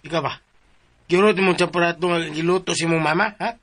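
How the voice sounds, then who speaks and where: An actor playing a bickering, easily muddled comic companion in a radio drama, nagging with questions and broken phrases. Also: male voice, a little high, steady tone, fairly quick, a bit bright.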